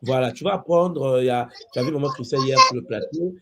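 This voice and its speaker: A man hosting a spiritual talk show, calmly reassuring a caller and calling her maman. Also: medium pitch, quick pace.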